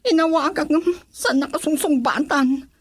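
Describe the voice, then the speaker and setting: Young bride-to-be played by a woman, Ilocano accent, very high voice, defensive, dry radio drama sound. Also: normal speed, no room sound, close to an everyday voice. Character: Rosela, young woman about to marry, defensive.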